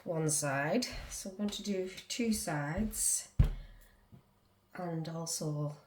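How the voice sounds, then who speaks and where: A woman's voice: low voice, drawn-out words, noisy background. A woman with a Northern English accent, congested with a cold, casually talking through a crochet demonstration as she works. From England. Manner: chatty, talks to herself while working. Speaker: crochet tutorial host.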